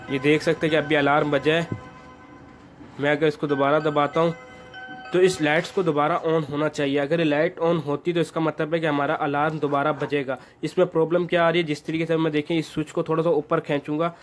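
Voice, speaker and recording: A man's voice: on the high side, flat delivery, a little fast, faint music behind. A man narrating an informal hands-on repair tutorial, guiding viewers step by step in an instructional way. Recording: noticeable background noise.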